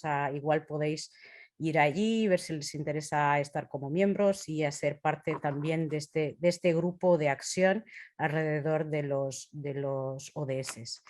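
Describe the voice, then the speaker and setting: Female presenter, 50s, native Portuguese speaker with a Portuguese accent. Slightly low register, very slow delivery, halting and searching for words, informal slide-talk style. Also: a little mellow. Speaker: presenter leading a talk on impact measurement.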